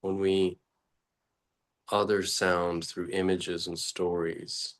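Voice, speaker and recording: A man leading an unscripted guided meditation as a teacher, speaking haltingly in short phrases with long pauses between them. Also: low-pitched, flat delivery.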